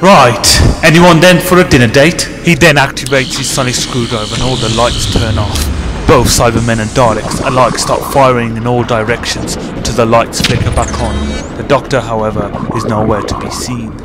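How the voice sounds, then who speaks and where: Man, scripted story narration, middle-pitched voice, clear music bed behind. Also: steady tone, normal speed.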